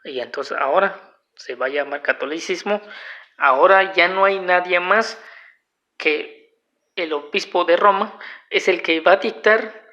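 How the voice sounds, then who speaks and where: Slow, gravelly man lecturing from home. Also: fairly high pitch, bright, forceful tone. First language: a language other than Spanish. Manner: halting, circles back and restates points. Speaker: video lecturer. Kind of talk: unscripted explanatory monologue. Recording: dull lo-fi home recording.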